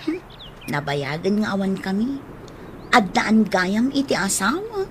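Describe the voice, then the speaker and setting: A woman's voice: mid-range voice, big pitch swings, a little slow. A woman with an Ilocano accent playing a plaintive young woman in a radio drama, on a noisy recording. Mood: hesitant.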